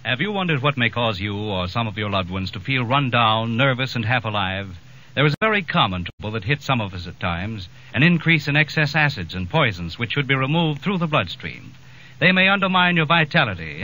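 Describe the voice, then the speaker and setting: A man's voice: mid-range voice, very animated, brisk, bright, forceful tone, dull audio. An American man reading a scripted 1940s radio commercial as an announcer, emphatic and persuasive.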